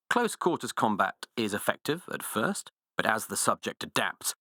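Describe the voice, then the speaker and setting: An actor playing a cold, pompous, sadistic scientist villain in a video game, speaking with condescending, precise diction. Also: male voice, low voice, pushed and bright, no room sound, clean studio sound. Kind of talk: video game villain voice acting, monologues and research logs.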